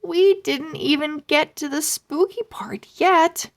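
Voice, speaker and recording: A woman's voice: high voice, takes it slowly, pitched above the performer's own voice. A woman voicing an eager, earnest young boy in a children's storybook.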